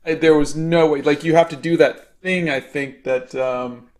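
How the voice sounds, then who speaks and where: A man's voice: a little high, fairly even intonation, normal speed, crowd noise. A man hosting a live drawing stream as the artist, talking casually and responding to viewers. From United States.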